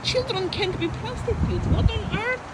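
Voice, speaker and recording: A woman's voice: extremely high pitch, normal speed. A woman from Armenia talking in an unscripted personal monologue, emphatic and repetitive, piling up lists as she speaks. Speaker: vlogger. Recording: loud traffic noise outdoors.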